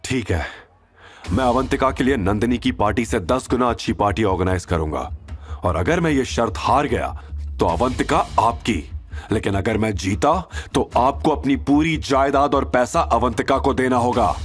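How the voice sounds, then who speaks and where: A man as a calm, decisive businessman. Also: medium pitch, normal speed, soft background music, close to an everyday voice. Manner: firm, brief.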